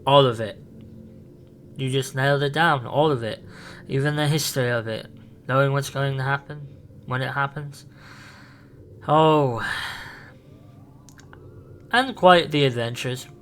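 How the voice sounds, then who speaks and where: A man playing an eccentric, excitable time traveler who rambles with enthusiasm in a fan roleplay. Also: medium pitch, slight background noise, lightly acted. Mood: excited. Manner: enthusiastic, rambling, quick bursts.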